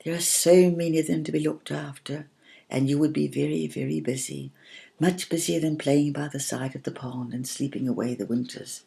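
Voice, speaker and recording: A woman voicing a gentle, solemn little cloud in a children's story, speaking softly and carefully, at times in a whisper. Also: deep voice, fairly even intonation, creaky, husky, exaggerated voice. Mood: gentle warning. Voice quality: soft, hushed, partly whispered. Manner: slow, careful, earnest.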